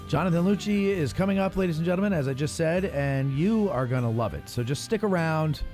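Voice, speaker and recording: A man hosting a casual talk show, speaking in a halting way and often repeating himself. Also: a little high, lively intonation, over music. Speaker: podcast host. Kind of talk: casual chat.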